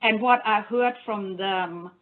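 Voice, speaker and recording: A woman's voice: medium pitch, slow pace. A woman with a German accent, speaking animatedly on a panel about funding and education, often restarting her sentences. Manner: animated, talks around ideas with restarts. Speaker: funding program officer. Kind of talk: panel discussion on a video call. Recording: tinny phone-like line.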